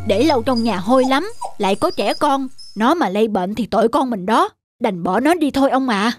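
A woman's voice: on the high side, lively intonation, moderate pace, lightly acted. A woman performs a practical village wife in a folktale cartoon. She is unsentimental and persuasive, nagging matter-of-factly. Music plays underneath. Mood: persuading. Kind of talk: Vietnamese folktale cartoon dubbing.

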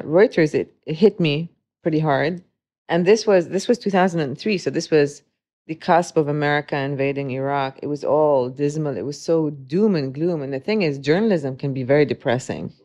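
This is a woman in her forties with a notably low voice, speaking as an interview guest. She talks thoughtfully, pausing and restarting as she searches for words. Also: darkish tone. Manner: reflective, with frequent hesitations and restarts. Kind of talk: conversational interview.

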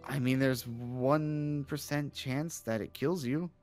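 A man's voice: mid-range voice, steady tone, takes it slowly, darkish tone, faint music behind, lightly acted. A man playing a knowledgeable young student mage, explaining and musing hesitantly in an improvised game roleplay.

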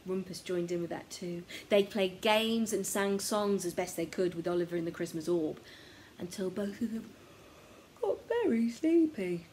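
A young woman reads a children's story in an expressive, theatrical way, often dropping to a hush, recorded in a small room at home. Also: medium pitch, moderate pace. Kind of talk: animated children's story reading. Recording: noticeable background noise, home recording.

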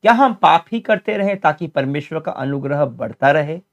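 A man's voice: a little high, animated, normal speed, lo-fi sound. An older man teaching a Bible study with a sore throat, earnest and explanatory, repeating key terms, speaking into a lapel mic in a study.

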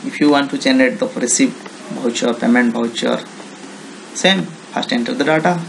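Male software demonstrator, Indian accent, creaky voice, halting unscripted tutorial delivery, slightly noisy screencast audio. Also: medium pitch. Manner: halting, non-native English phrasing with repeated check words.

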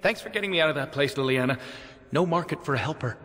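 An actor voicing a bitter, shaken young elf mage in a video game, with a clipped, downcast delivery. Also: male voice, a little high, lively intonation, quick pace, fairly bright tone. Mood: bitter, hurried. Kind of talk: video game cutscene acting. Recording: long reverberant hall echo.

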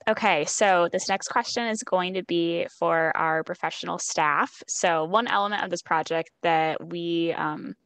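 A woman's voice: lowish voice, a little slow, few pauses, a bit bright, clear, resonant tone, no echo. A woman moderating a virtual panel, gracious and brief, politely passing questions to the speakers.

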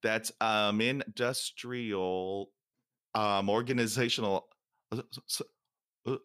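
A man's voice: on the low side, fairly even intonation, takes it slowly, fairly bright tone, clear, resonant tone, no echo, clean studio sound. A man who stutters, hosting a podcast interview and telling stories animatedly with laughter. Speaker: podcast host.

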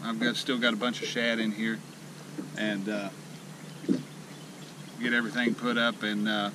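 A man, out of breath and excited, chatting folksily to the camera from a small boat on a lake as host of a fishing vlog. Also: fairly low pitch, measured pace. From Illinois, USA. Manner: folksy and excited, rambling with many fillers. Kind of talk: casual outdoor vlog talk. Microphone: action camera mounted in the boat. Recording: loud wind and water noise outdoors.

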